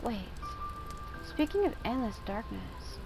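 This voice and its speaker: A woman's voice: fairly high pitch, sing-song, takes it slowly. A woman game streamer giving informal, reactive playthrough commentary and reading game text aloud. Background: game music.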